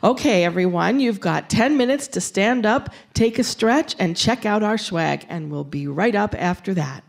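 A woman speaks for a community radio station at a live stage show. Her voice sits at a middle pitch as she makes a fundraising appeal. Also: expressive, moderate pace, vocal fry, hardly any pauses.

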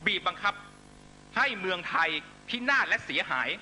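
A middle-aged man giving an impassioned political rally speech in emphatic, short measured phrases into a stage microphone. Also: high-pitched, expressive, music in the background. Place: large night-time outdoor rally stage. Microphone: stand microphone on stage. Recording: noisy live rally public-address broadcast with hum.

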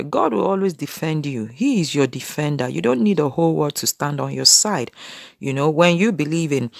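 Woman in her thirties, earnest preaching to camera, gravelly edge, dry close sound. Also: low voice, no room sound. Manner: earnest, exhorting, many "you know" fillers. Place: small room indoors.

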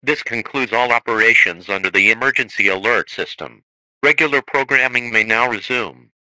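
A man's voice: mid-range voice, moderate pace, sharp tone, poor audio. A synthetic male text-to-speech voice reading scripted emergency broadcast announcements as an alert announcer.

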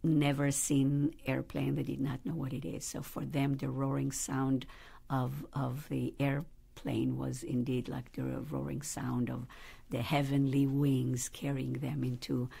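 A woman rabbi recounting her family's history in an interview, pausing often to search for words. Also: a bass voice, little pitch movement, creaky, mellow, breathy edge. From Israel. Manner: thoughtful, searching for words with fillers. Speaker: rabbi, guest. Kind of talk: interview, personal storytelling. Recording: faint background noise.

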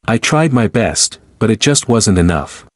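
Man reading english drill answers, lowish voice, dry close mic, clear and even. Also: a little slow, dry recording. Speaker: language lesson reader. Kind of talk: slow repeated example sentences for learners.

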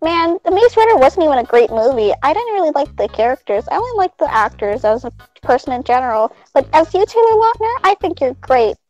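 A woman's voice: high-pitched, sing-song, fairly quick, darkish tone, lo-fi sound. A woman improvising a comedic fan dub, giggly and exclamatory in a goofy way. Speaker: amateur fandub performer. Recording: music behind the voice. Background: game cutscene music.

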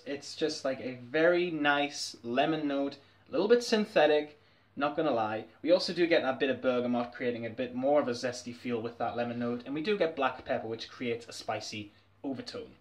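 Young man, mid-range voice, easygoing fragrance vlogger, talks straight to a webcam from his bedroom. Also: medium pitch. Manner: chatty, candid, laughs often. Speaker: fragrance reviewer. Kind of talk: casual review vlog. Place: small dim bedroom, indoors.

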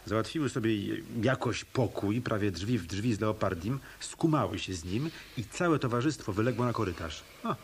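An actor playing a tough, wisecracking hotel detective in a radio drama, with a wry, sardonic manner. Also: male voice, fairly low pitch, expressive, moderate pace, hardly any pauses, slight background noise, lightly acted. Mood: indignant, sardonic.

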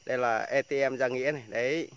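A man's voice: mid-range voice, fast, clear, resonant tone. A man doing playful mock sports commentary while filming outdoors at a fishing pond. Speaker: vlogger behind the camera, match commentator.

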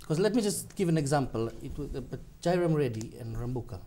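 A man's voice: medium pitch, lively intonation, quick pace, dark tone. A man in his thirties hosting a studio TV interview, pressing his guest with challenging questions read from notes. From Fiji. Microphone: lapel.

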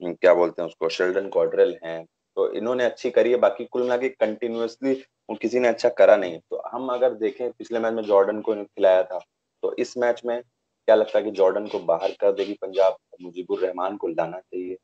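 A man's voice: on the low side, fairly even intonation, fairly quick, warm tone. A young man hosting a cricket discussion in a conversational way, hesitating and searching for words, over a video call. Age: young adult. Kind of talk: conversational sports commentary. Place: indoors, small room, video call. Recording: dull lo-fi video call audio.

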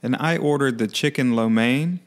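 A man giving a plain, measured voice-over review of his dish. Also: medium pitch. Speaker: vlog co-host.

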